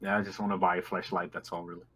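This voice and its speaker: A man with a fairly low voice plays a tabletop roleplaying game. He talks casually with the group, and there is noticeable noise behind his voice. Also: little pitch movement, rapid-fire, bright, forceful tone.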